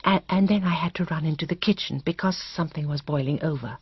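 A close, dry radio drama recording, a little dull, of a fussy spinster. Her low voice is very breathy, nearly whispered, as she confides like a gossip. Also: quick pace, dry recording. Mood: confiding, flustered. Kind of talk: BBC radio mystery drama acting.

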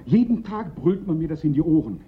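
Highly animated, fretful police prefect. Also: male voice, a little fast, some chatter behind. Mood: alarmed, indignant. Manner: theatrical, exasperated, lamenting. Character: fretful, self-pitying Paris police prefect, panicky and melodramatic. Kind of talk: German radio play acting. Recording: dull, lo-fi radio play recording.

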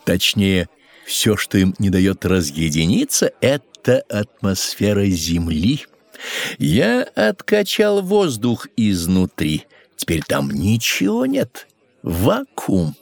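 A man's voice: sits low, huge pitch swings, slow, vocal fry, no room sound, full character voice. An actor playing a proud, courteous seventeenth-century scientist explaining his experiment theatrically in an educational audio drama. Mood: proud, explanatory.

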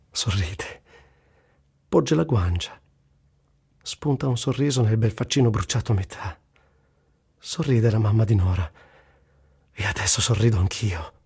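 An actor playing an Italian journalist volunteering in a war, narrating in the first person in a radio reading, earthy, tender and wry, with literary delivery and blunt asides. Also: male voice, fairly low pitch, mellow, slightly rough, exaggerated voice. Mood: tender, sorrowful. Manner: dramatic literary recitation with blunt colloquial asides.

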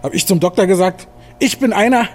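An actor playing a talkative, stoically cheerful older hospital patient in a radio drama, folksy and sure of himself. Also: male voice, sits high, a little fast, a little mellow, slight background noise, lightly acted. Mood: chatty, upbeat. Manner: garrulous, folksy, self-assured.

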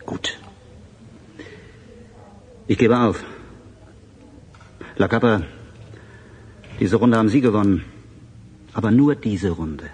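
A man playing a proud, defeated but defiant government official in a German radio drama, speaking gravely with weighty emphasis and pauses. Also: fairly low pitch, exaggerated voice. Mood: defiant resignation.

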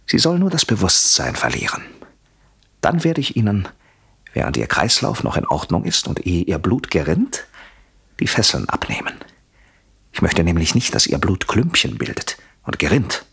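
A vengeful husband in a radio play, very low and husky. His pitch swings widely as he moves from mock courtesy to menacing whispers, always deliberate and coldly methodical. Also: fairly bright tone, exaggerated voice. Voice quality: husky, often dropping to a whisper. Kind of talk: German radio play acting.

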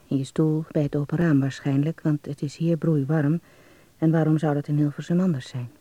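An actor in a radio drama playing an earnest, naive young Dutch man, musing softly as he reads out his letter home. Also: on the high side, no room sound, close to an everyday voice. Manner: confiding, musing. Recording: dull, muffled close recording.

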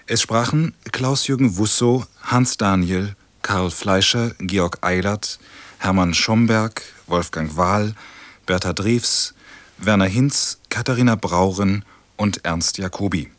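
A man announcing radio programme credits in a formal, deliberate scripted style. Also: fairly low pitch, no echo.

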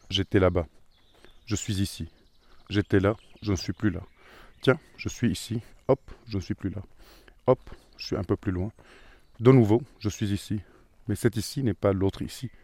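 A man's voice: on the low side, normal speed, pauses often, a little mellow. A man performing improvised spoken word in repetitive, musing phrases. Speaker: participant in an audio landscape piece.

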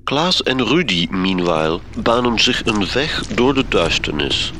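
A man's voice: fairly low pitch, very animated, measured pace, background noise. A man playing a theatrical, mock-solemn narrator of a radio drama.

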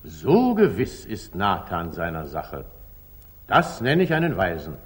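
An actor playing a commanding, probing medieval sultan in a declamatory 1940s German radio drama style. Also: male voice, low voice, huge pitch swings, exaggerated voice. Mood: eager, solemn. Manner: commanding, declamatory, deliberate phrasing.

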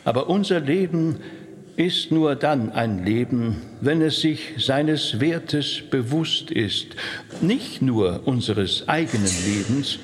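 Slow preacher in reverberant hall. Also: male voice, mid-range voice, lively intonation, a little hiss or hum. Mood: solemn, exhorting. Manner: measured, solemn. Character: earnest, exhorting male preacher. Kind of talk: sermon within a radio drama. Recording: long echoing hall reverb.

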